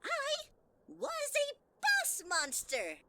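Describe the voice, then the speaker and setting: A woman's voice: wildly swooping pitch, drawn-out words, gravelly, noisy background, heavily acted. A woman voicing a sweet-talking, sinister male flower in a fan audio drama, syrupy and teasing. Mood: sugary. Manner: syrupy, teasing, swings high and low.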